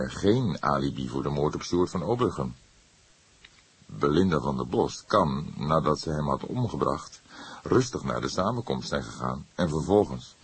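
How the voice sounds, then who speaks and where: Male reader as old detective, very deep, husky, slow, formal, stern, dry wit, audiobook. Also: full character voice. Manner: slow, measured, formal, emphatic.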